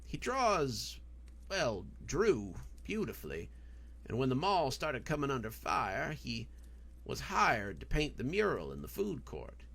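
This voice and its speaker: An earnest, cautious man in a spooky story, played by a male narrator. He explains things gravely, with animated intonation and some gravel in his voice. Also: medium pitch, unhurried, voice pushed up, full character voice. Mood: explanatory.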